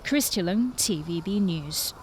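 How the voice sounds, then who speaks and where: A woman's voice: fairly low pitch, animated, measured pace, a little hiss or hum, low-quality recording. A woman working as a news reporter, narrating a scripted television report.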